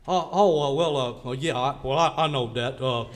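A man's voice: medium pitch, normal speed, natural voice. An actor playing a boastful, bumbling male country singer in a live radio comedy sketch, rambling and stammering in a folksy way. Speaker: comic guest singer.

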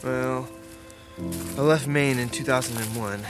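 A man playing a guarded, low-key drifter and hunter in an audio drama, speaking in terse, hesitant lines. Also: steady tone, measured pace, over music, lightly acted. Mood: reserved, reflective. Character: guarded, drifting outdoorsman from Maine who grew up hunting. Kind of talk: naturalistic audio drama dialogue.

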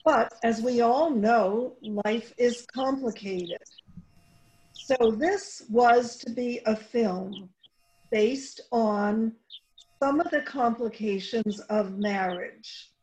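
A woman giving a prepared introduction as director and playwright, speaking deliberately, phrase by phrase. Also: a little high, a little mellow, rough recording.

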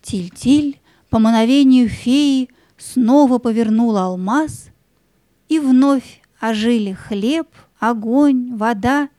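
A woman narrating a children's audio play as a scripted story, in an expressive, lyrical storytelling manner. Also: a little high, slow, no echo.

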